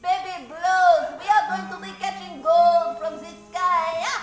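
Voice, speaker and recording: A woman's voice: very high voice, steady tone, measured pace. A woman playing a gloating, melodramatic villainess in an old-time radio serial. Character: gloating French villainess with dynamite. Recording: echoey stage, faint music behind.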